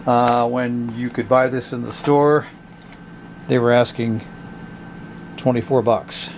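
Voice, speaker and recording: A man's voice: mid-range voice, level tone, slow pace, slight background noise. A man giving a casual, blunt review of a cheap radio, thinking aloud as he tests it. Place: indoors at a tabletop.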